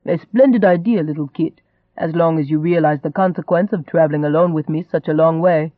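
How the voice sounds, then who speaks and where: A man's voice: on the high side, fairly quick, dry recording, narrow, tinny audio, lightly acted. A man voicing a charming young nobleman in an audiobook, a teasing and cajoling rogue who stays unruffled. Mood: amused, coaxing.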